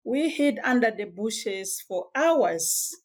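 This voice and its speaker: A woman from Sudan, telling her life story as a guest in a podcast interview, measured and reflective with small hesitations. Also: a little high, expressive. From South Sudan. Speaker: guest author.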